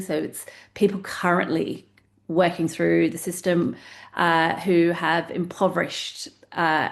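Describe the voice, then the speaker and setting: A woman who leads a community research project, speaking on a webinar panel in a measured way with frequent fillers. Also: on the low side, gravelly. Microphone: video call.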